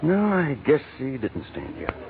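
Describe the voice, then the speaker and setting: A man playing a smooth-talking, pushy admirer at a bar in a 1940s radio drama, casual and insinuating. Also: mid-range voice, huge pitch swings, moderate pace. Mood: flirtatious, probing. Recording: noisy, tinny old radio recording.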